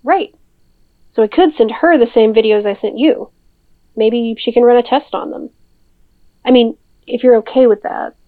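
Over a thin, narrow phone line comes a caring older sister with a fairly high voice. She is practical and natural, a woman in an audio drama. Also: normal speed, pauses often. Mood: helpful, matter-of-fact. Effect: phone filter.

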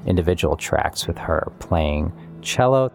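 A man, a musician and composer, talking reflectively and in measured phrases about his work. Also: deep voice, expressive, creaky. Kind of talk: reflective interview monologue about making music. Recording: music playing behind the voice. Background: guitar-led instrumental music.

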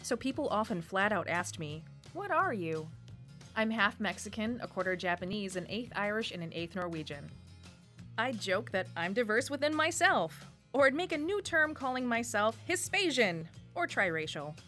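A woman's voice: mid-range voice, very animated, normal speed, fairly bright tone. A woman in her thirties from Wisconsin with a Wisconsin accent, reading a personal story for radio in a reflective way. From Wisconsin, USA. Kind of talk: scripted first-person narration. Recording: faint music behind voice.

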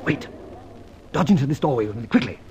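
An actor playing a breezy gentleman adventurer, jaunty and flowery in the manner of old-time radio drama. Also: medium pitch, very animated, fairly quick. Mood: urgent. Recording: dull old radio recording, faint noise.

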